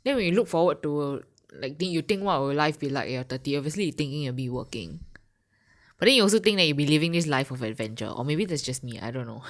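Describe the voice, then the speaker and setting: A woman's voice: low voice, animated, quick pace. A woman of thirty, co-hosting a podcast, musing and questioning as she trails off. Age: 30s. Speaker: podcast co-host. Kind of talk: casual chat.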